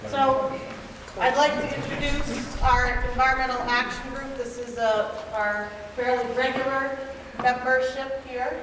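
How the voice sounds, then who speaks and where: A woman teacher introducing her student group at a meeting, relaxed and using fillers. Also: high voice, unhurried. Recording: echoey hall from far off, background hiss.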